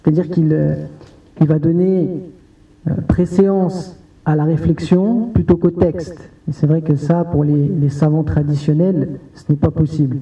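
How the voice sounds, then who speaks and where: A man's voice: on the high side, fairly even intonation, unhurried, dark tone. A man on a conference panel, answering in a hesitant way with many restarts. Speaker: panel speaker. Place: conference hall. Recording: distant roomy hall sound, dull audio.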